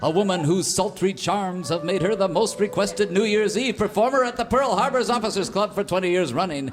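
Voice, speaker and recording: A man's voice: high-pitched, a little fast, lightly acted. A man playing an effusive, silly master of ceremonies for a Hawaiian band in a comic radio theater show. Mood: showy, excited. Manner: showy, bantering stage announcer. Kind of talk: comic radio theater acting before an audience. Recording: live stage show with music and audience.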